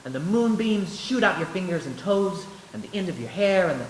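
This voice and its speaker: A man playing an eager, restless young small-town man in a live radio play, folksy and excitable. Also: high voice, a little fast. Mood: playful, earnest. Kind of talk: live 1940s-style radio play acting. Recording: echoey stage, background noise.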